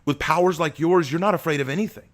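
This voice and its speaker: A man's voice: on the high side, quick pace, pitched above the performer's own voice, close to an everyday voice. A man voicing a timid, insecure young human man who stammers and hesitates. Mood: nervous.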